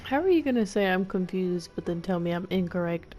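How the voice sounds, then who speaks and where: A woman's voice: on the low side, lively intonation, brisk, mellow, soft background music. A young woman streamer giving casual, playful gameplay commentary in slangy exclamations. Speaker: game streamer.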